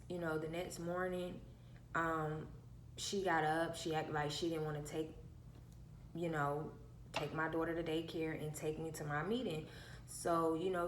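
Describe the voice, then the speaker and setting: A woman with a soft, childlike voice telling a personal story casually and emphatically, often repeating herself for effect. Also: deep voice. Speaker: vlogger. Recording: roomy echo, faint background hum.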